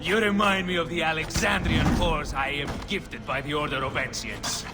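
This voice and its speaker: A man's voice: a little high, steady tone, moderate pace, loud music behind the voice, lightly acted. A man playing a sneering, lecherous villain in a video game, mocking and theatrical.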